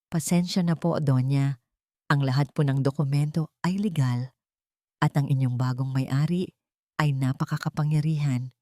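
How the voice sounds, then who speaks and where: A woman voicing a calm, polite male lawyer delivering bad news in a formal tone. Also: extremely low pitch, normal speed, dry recording, studio quality.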